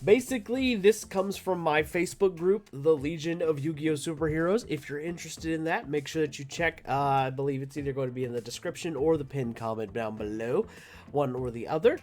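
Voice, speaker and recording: A man's voice: a little high, a little mellow. A man hosting a card game channel, talking casually and upbeat to viewers while showing a deck. He sounds nasal from allergies and chats with lots of filler and restarts.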